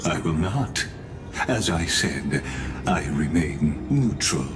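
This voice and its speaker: An actor playing a composed, courtly lord in a video game, speaking formally and diplomatically with veiled menace. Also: male voice, rumbling low, lively intonation, slow pace, over music, heavily acted. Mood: calm, diplomatic. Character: composed, courtly male lord and neutral political broker. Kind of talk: video game dramatic voice acting.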